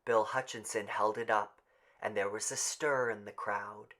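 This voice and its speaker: A man's voice: on the low side, fairly even intonation, a little slow, a bit bright. A man narrating literary fiction as a scripted audiobook reading.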